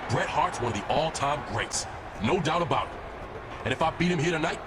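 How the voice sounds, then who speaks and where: A man's voice: on the high side, expressive, fairly quick, lightly acted. A man playing a confident, challenging wrestling star in a video game cutscene. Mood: cocky. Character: cocky, defiant young male wrestling star. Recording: very noisy game capture.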